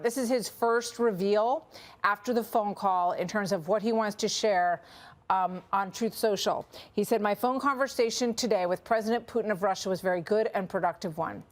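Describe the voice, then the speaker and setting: An American woman working as a news anchor, presenting live and reading out statements. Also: medium pitch.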